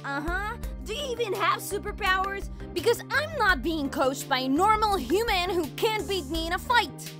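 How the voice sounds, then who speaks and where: A woman's voice: very high voice, animated, normal speed, few pauses. A woman playing a brash, hot-tempered young superheroine in a comic dub, over prominent music. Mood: defiant. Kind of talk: acted comic dub dialogue.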